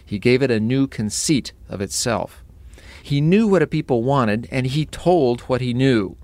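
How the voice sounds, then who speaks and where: A man reading a formal written text aloud in a measured, declamatory way. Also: mid-range voice, lively intonation, a little mellow, no echo.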